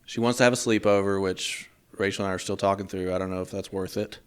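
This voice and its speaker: A man in his thirties, co-hosting a podcast in relaxed, rambling chat, laughing often. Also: sits low, fast talker, clean tone. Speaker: podcast co-host. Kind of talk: casual chat.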